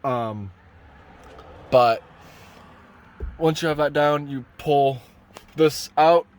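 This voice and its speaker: A man gives a casual hands-on DIY truck tutorial in a halting, rambling way, often correcting himself as he goes. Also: on the high side. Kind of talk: casual hands-on how-to talk. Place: inside a parked pickup truck cab.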